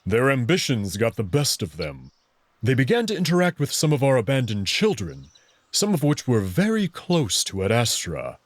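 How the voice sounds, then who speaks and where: A man voicing a proud, confident young alien wolf prince in a visual novel, friendly but full of hubris, speaking with expressive self-assurance. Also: medium pitch, normal speed, no echo. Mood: proud, dismissive.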